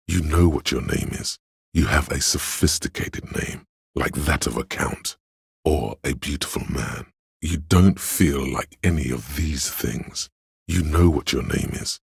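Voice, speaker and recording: An actor playing an arty, grandiose inner voice of imagination in a video game, narrating theatrically and savoring each image in a gravelly, husky tone. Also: male voice, extremely low pitch, takes it slowly, dry recording, studio quality, full character voice. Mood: poetic, savoring. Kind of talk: dramatic second-person game narration.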